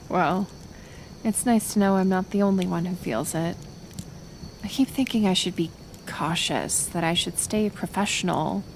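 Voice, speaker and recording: A woman playing a kind, flustered, curious healer in an intimate ASMR roleplay, speaking softly and hesitantly. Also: mid-range voice, slight background noise, rough recording. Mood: hesitant, tender. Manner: halting, soft, hesitant delivery. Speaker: roleplay audio performer.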